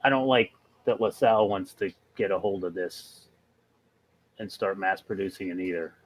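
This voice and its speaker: A man playing a tabletop role-playing game, talking casually and haltingly as he works things out. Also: deep voice, a little hiss or hum, low-quality recording. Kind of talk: casual in-character role-play chat.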